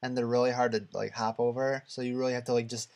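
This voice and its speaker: A man with a mid-range voice chats casually as co-host of a gaming podcast. He speaks haltingly and rambles as he gives his opinions. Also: mid-range voice, little pitch movement, gravelly, darkish tone.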